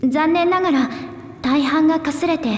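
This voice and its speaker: An actress playing a small, polite young scholar envoy in a video game, speaking formally with earnest determination. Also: female voice, high-pitched, normal speed, lightly acted. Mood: earnest, solemn. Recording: echoey hall reverb, music behind.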